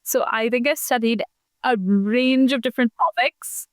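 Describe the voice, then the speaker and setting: A woman's voice: on the high side, normal speed, dry recording, studio quality. A young woman from India, a podcast guest, talking casually and chattily with many fillers. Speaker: podcast guest, founder and matchmaker. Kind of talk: casual interview talk.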